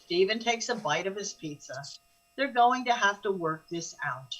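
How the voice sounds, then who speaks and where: A woman's voice: medium pitch, animated, normal speed, fairly bright tone, poor audio. A woman as a composed storyteller, reading scripted narration of the action in a radio drama.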